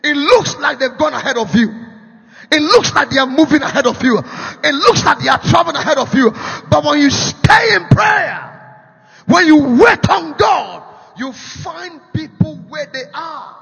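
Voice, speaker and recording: A man with a Ghanaian accent preaching a sermon, shouting in sing-song, repeating rising phrases in quick bursts. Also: very high voice, creaky. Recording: dull lo-fi sound with long hall reverb.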